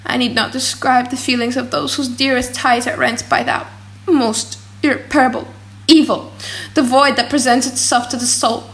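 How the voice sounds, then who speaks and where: Casting: female audiobook narrator, higher voice, expressive and theatrical delivery for classic gothic fiction. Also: normal speed, poor audio. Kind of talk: dramatic, slightly silly audiobook reading of a gothic novel.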